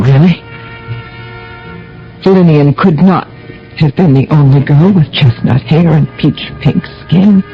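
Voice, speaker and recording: A middle-aged, imperious woman in mourning, anxious and doubting. She speaks slowly and formally, with a creaky edge, in radio mystery style. The old recording is dull, with faint music. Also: lowish voice. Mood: uneasy, defensive. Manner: formal, theatrical, hesitant phrasing. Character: grieving, imperious mistress of the house, anxious and doubting. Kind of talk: 1980 radio mystery drama acting, narrating and dialogue.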